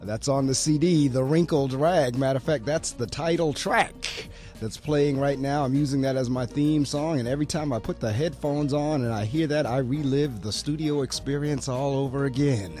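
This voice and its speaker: A man's voice: medium pitch, normal speed, dark tone, soft background music. A man hosting a morning radio show and reading the weather, with a slightly froggy voice, in an upbeat talkative way. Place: small college radio studio. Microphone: studio mic.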